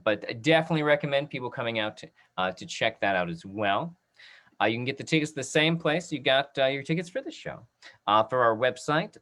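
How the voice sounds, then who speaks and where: A man moderates an online audience talkback over a video call. He speaks informally and haltingly, often doubling back on phrases and excusing himself as he makes announcements. His voice sits at an ordinary male pitch. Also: a bit bright. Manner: halting, repeats and restarts phrases, apologetic.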